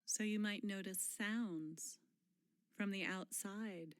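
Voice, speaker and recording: A woman leading a guided mindfulness meditation in a gentle, unhurried manner, pausing often and letting phrase endings fall soft and breathy. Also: medium pitch, steady tone, dry recording.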